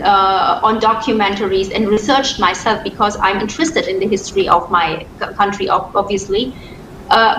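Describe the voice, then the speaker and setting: A woman's voice: fairly high pitch, fairly bright tone. A woman in her thirties from Afghanistan, answering interview questions with many hesitations and restarts. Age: 30s. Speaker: interview guest. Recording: echoey distant remote call with faint noise.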